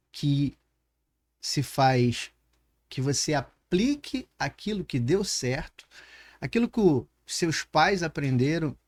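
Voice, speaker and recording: A man's voice: a little high, a little mellow. A man with a Brazilian Portuguese accent hosting a conversational podcast interview, reflective and building long questions through examples. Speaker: podcast host.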